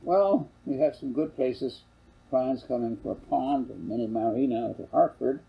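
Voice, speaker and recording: An older man voicing a plain-spoken country father in a storybook, gravelly and wryly folksy. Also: mid-range voice, normal speed, lo-fi sound, pitched above the performer's own voice. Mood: matter-of-fact.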